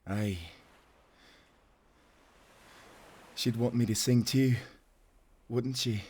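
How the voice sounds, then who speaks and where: A man playing a weathered old sailor in an audio drama, gruff but tender and heavy with grief. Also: mid-range voice, steady tone, mellow. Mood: quiet grief. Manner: slow, heavy, halting. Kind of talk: cinematic audio drama acting.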